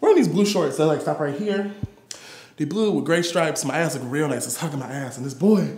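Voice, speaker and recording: A man's voice: fairly high pitch, fairly quick, a little mellow, slight background noise. A young American man telling candid personal stories live in a playful, sassy, slangy way as a performance artist. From Detroit, USA. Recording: roomy live gallery recording.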